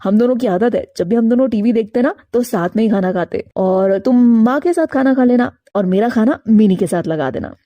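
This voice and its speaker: Woman doing a casual young crow guy from a cartoon story, brushes off objections, mellow and dry. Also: on the high side, brisk, hardly any pauses, dry recording, natural voice. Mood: cheerful, casual. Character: carefree, defiant young married male crow. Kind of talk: Hindi animated moral story character.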